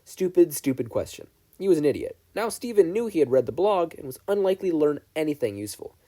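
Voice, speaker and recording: Mellow, expressive male story narrator. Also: fairly high pitch, quick pace. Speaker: podfic narrator. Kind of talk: expressive scripted fiction narration.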